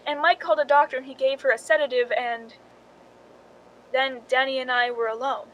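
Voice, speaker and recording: A woman acts a scheming young stand-in from a suspense radio play. The voice is high and the delivery dry and knowing, like a confession in a noir tale. A light rumbling noise sits under it. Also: fairly even intonation, a little fast, highs cut off, natural voice. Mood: wry, confiding. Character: cool, calculating young Hollywood stand-in narrating her own story. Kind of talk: 1940s-style suspense radio drama acting. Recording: noisy recording with low rumble.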